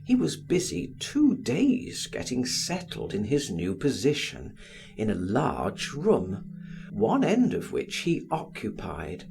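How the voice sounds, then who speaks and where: Guy narrating a classic novel, super swoopy animated pitch, loud music under him. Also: vocal fry. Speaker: audiobook reader. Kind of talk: expressive literary narration. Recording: music behind the voice.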